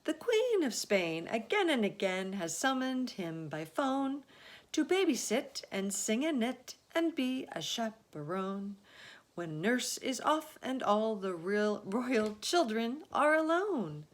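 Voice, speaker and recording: A woman's voice: on the high side, very animated, slow, voice pushed up. A woman voicing a cheerful, polite young boy who speaks eagerly in sing-song rhymes. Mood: proud.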